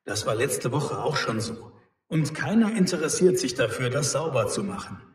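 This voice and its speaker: Man acting a grumbling neighbour, slightly rough voice. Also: medium pitch, big pitch swings, normal speed. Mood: reproachful. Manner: reproachful, formal. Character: grumbling, fault-finding male neighbour in an apartment house. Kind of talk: scripted language-lesson dialogue.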